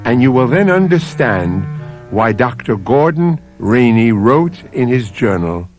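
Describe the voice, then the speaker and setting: Male radio mystery host, dramatic scripted narration, unhurried and deliberate, expressive pitch, light music behind. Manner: deliberate, suspenseful, addresses the listener.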